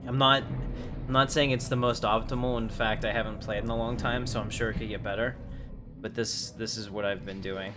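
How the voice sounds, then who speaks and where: A man's voice: on the low side, fairly even intonation, a little fast. A man giving casual, chatty game livestream commentary, rambling along in slangy talk. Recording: clearly noisy livestream audio.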